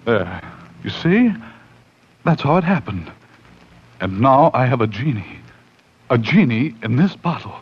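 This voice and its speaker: An actor playing an excitable, uneasy man telling his own horror tale, dramatic and intense, in 1940s radio style. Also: medium pitch, slightly rough. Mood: grim, shaken. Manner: dramatic, intense, with suspenseful pauses. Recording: dull, slightly noisy 1940s radio recording.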